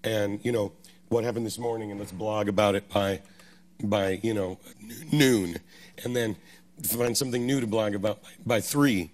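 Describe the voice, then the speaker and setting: Hoarse man with halting speech. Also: on the low side, fairly even intonation. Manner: halting, many restarts. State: losing his voice. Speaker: panelist. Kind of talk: panel discussion on stage. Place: festival stage.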